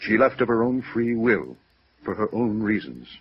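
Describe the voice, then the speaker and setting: A man playing a proud, lovelorn older gentleman in a 1950s radio drama, formal and defensive, with bitterness underneath. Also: on the low side, very animated, moderate pace, breathy edge, heavily acted. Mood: defensive, pained. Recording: muffled vintage radio recording.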